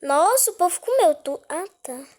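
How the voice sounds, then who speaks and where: A woman's voice: extremely high pitch, lively intonation, moderate pace, darkish tone. A woman with a cold, giving casual gameplay commentary; she is chatty and easily startled, and often drops to a whisper. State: has a cold. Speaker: gaming channel host.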